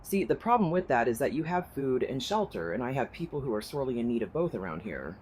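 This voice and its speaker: A woman's voice: rumbling low, very animated, quick pace, a little mellow, slight background noise. A woman playing a hostile, desperate survivor in an audio drama, confrontational and sardonic. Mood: sly, menacing. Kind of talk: full-cast audio drama acting.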